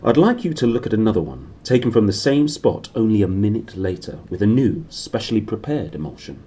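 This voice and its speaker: A man voicing a composed, authoritative gentleman explorer who lectures calmly and in measured phrases in a storybook reading. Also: on the low side. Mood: calm, assured. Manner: calm, measured. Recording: clearly noisy room recording.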